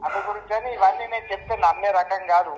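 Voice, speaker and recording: A man's voice: sits high, fast talker, muffled recording. A middle-aged man with a Telangana accent talking casually to younger relatives over the phone. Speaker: older relative on the call. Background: clear noise.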